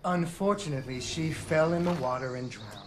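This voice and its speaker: A woman's voice: low-pitched, fairly quick, slight background noise, lightly acted. A woman voicing a young girl who narrates a game's storybook tale in a playful, sing-song way. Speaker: game narrator.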